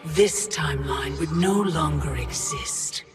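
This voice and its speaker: A woman's voice: low voice, animated, vocal fry, over music, heavily acted. An actress playing an ancient, coldly menacing female Titan in a video game, speaking in formal, commanding tones. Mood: cold, persuasive. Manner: slow, formal, commanding delivery. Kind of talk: dramatic video game villain acting.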